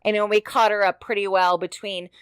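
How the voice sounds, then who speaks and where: Woman chatting on a podcast, medium pitch, dry sound, repeats herself for emphasis. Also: flat delivery, a little fast, a bit bright, clear, resonant tone, no room sound. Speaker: podcast co-host. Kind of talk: casual chat.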